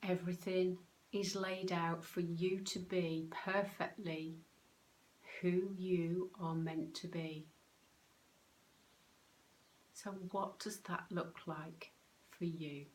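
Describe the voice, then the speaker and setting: A woman with a lowish voice leads a guided meditation. Her delivery is very slow and calm. She gives soothing instructions in short phrases, pausing often. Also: rough recording. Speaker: meditation guide, soul coach.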